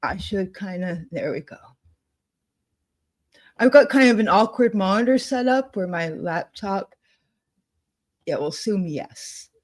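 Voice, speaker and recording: A woman co-hosting a casual chat, thinking aloud as she clicks through software at her desk, with frequent laughs. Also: mid-range voice, animated, unhurried, long pauses. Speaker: co-host demonstrating software. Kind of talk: casual screen-share chat.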